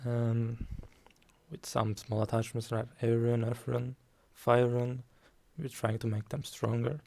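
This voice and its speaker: A man streaming a card game, talking calmly and softly, with frequent ums. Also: mid-range voice, gravelly. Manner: slow, calm, soft-spoken with frequent ums. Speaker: guest streamer. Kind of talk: casual game stream commentary.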